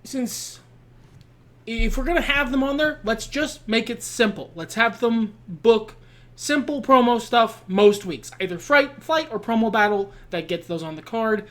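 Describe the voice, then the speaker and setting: A man's voice: very high-pitched, measured pace, fairly bright tone. A man co-hosting a casual chat while playing a wrestling booking game, explaining plans step by step.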